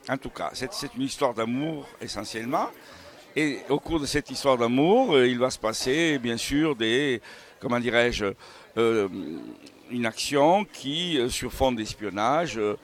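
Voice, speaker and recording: A man speaking as a novelist in an unscripted interview about his own books, halting and searching for words as he digresses. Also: mid-range voice, fairly bright tone. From Italian family background. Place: book festival.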